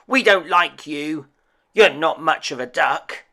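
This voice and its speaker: A man voicing big, hostile bullying ducks in a storybook, loud and scornful. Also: on the high side, slow pace, pitched above the performer's own voice, full character voice.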